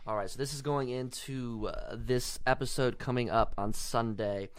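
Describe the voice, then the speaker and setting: A man's voice: mid-range voice, fairly even intonation, normal speed, clear, resonant tone. A man co-hosting a casual podcast, reading out betting odds and explaining them at length. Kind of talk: casual sports-betting chat.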